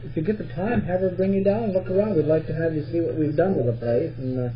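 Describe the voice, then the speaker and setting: A man chatting casually as an interviewer, with a hesitant, halting way of talking. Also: fairly high pitch. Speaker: interviewer, park visitor center worker. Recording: muffled old reel-to-reel tape with hum.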